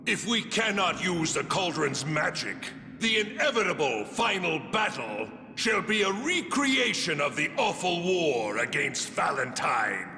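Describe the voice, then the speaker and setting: A man playing a sly, smug royal schemer in a fantasy game, with a mocking, theatrical, drawn-out delivery. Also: a little high, big pitch swings, pushed and bright, husky, soft background music, full character voice. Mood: scheming urging. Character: sly, smug scheming male retainer of a king. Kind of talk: dramatic fantasy game voice acting.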